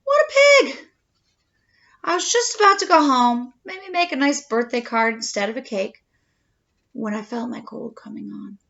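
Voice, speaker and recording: Storybook wolf played by a woman: wronged, mock-innocent, high and swooping, slightly muffled recording. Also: gravelly. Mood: indignant. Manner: expressive, mock-innocent storytelling with drawn-out dramatic words. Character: aggrieved male wolf telling his own side, slyly self-pitying.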